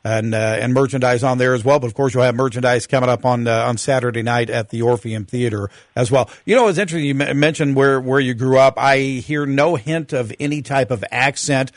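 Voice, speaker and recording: A male morning radio host interviews a guest in a relaxed, easygoing way, his phrasing full of fillers and restarts. His voice sits at a middle pitch and sounds close and dry in the studio. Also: fairly quick, few pauses, no echo.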